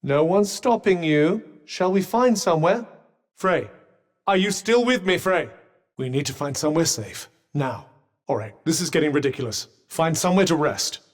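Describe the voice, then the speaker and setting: A man's voice: fairly high pitch, expressive, moderate pace, lightly acted. A man with a British accent voicing a haughty, sardonic magical cuff companion in a video game, posh and understated in his dry, condescending delivery. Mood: firm, mildly concerned. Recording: voice with long reverb.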